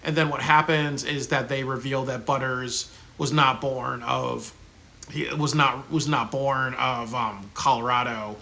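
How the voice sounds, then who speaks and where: A man's voice: mid-range voice, steady tone, unhurried, vocal fry, pushed and bright. A man giving a casual, rambling spoken review, full of fillers like "um" and "you know" and frequent restarts. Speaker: video reviewer.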